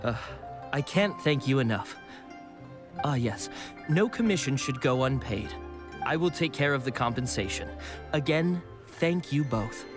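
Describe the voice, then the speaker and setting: An actor playing a courteous, officious government clerk in a video game, formal and mildly bemused. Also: male voice, mid-range voice, animated, music in the background, lightly acted. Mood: grateful. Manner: formal, measured wording, polite.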